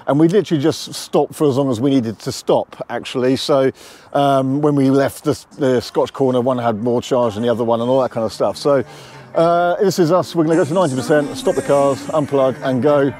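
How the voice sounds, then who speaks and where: A man's voice: medium pitch, a little fast, darkish tone, soft background music. A man, chatting casually and matter-of-factly to camera as a car vlogger, on a lapel mic. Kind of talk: casual vlog talk to camera. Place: mostly outdoors, car parks and roadside. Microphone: lavalier with furry windshield.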